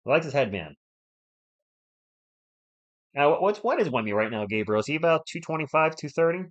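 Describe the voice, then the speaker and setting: A man's voice: a little high, big pitch swings, fast talker, dull audio. A man hosts a live sports stream while slightly under the weather. He mixes casual fan play-by-play with conversational replies to viewers, whom he greets by name. Playful asides are scattered through his commentary.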